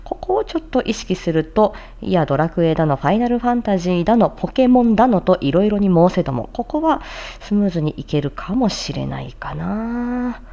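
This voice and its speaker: A woman's voice: mid-range voice, lively intonation, fairly quick, creaky, hardly any pauses, warm tone, lo-fi sound. A woman voice performer presenting a practice show, explaining and demonstrating recitation with attention to articulation. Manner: articulation-focused, demonstrating and explaining tricky phrases. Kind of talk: casual explanatory talk with formal script recitation.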